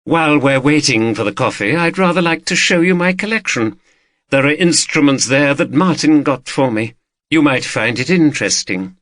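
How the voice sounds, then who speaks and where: Wealthy woman collector for an audiobook, played by a man: imperious, hospitable, raised lively pitch, close dry sound. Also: moderate pace, no room sound. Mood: gracious, imperious. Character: wealthy, haughty woman collector, gracious and discreet.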